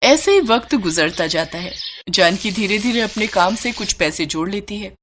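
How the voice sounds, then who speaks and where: A woman narrating a scripted moral story in an expressive storyteller's style. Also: mid-range voice, moderate pace, a little hiss or hum.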